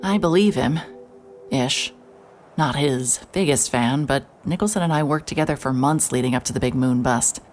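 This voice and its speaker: A woman's voice: rumbling low, normal speed, a little mellow, faint music behind. A woman playing a hard-hearted, sleep-deprived private investigator, wry and terse, narrating a noir audio drama in the first person. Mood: wry, determined. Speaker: narrator and lead character.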